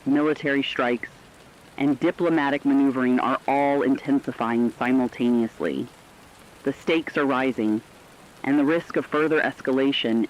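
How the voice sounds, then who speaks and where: A man's voice: medium pitch, dull audio, lightly acted. A man voicing a serious news analyst in a radio crisis broadcast, explaining events in formal scripted speech.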